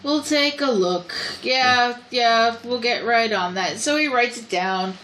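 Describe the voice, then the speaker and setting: A woman's voice: a little high, expressive, takes it slowly, fairly bright tone, slight background noise, rough recording. A woman co-hosting a movie review podcast, retelling scenes in detail while losing her voice. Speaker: podcast co-host. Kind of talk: casual movie review chat.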